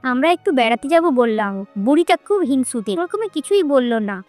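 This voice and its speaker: High-pitched jealous young sister-in-law, acted by a woman. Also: big pitch swings, fairly quick, a little mellow, faint music behind. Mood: jealous, complaining. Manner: complaining, scolding. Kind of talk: Bengali cartoon fairy-tale voice acting.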